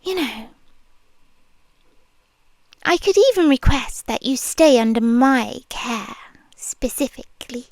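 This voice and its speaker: A British woman voicing a possessive, controlling nurse in an ASMR roleplay, speaking in a slow, soft, intimate whisper close to the ear. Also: on the high side, expressive, dry recording. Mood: coaxing.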